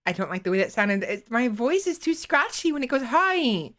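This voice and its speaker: A woman streamer chatting casually into a desktop microphone, rambling and halting, often restarting her sentences and poking fun at herself. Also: a little high, sing-song, fairly bright tone. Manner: self-deprecating. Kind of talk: casual live-stream chat.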